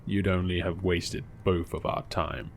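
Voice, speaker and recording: A man voicing a mocking, menacing villain in a jack-o'-lantern mask, speaking low, slow and sneering. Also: steady tone, creaky, a little hiss or hum.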